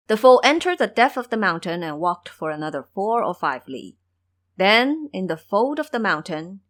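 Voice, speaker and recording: A woman's voice: mid-range voice, a bit bright. A woman narrating a scripted fantasy adventure as a storyteller.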